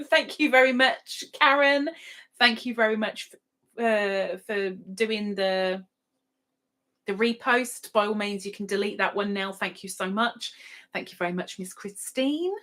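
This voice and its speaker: A woman's voice: mid-range voice, unhurried, a bit bright. A woman hosting a casual livestream, chatting playfully with sing-song asides and reading out notices to viewers. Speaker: livestream host. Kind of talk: casual live chat, reading out viewers' adverts.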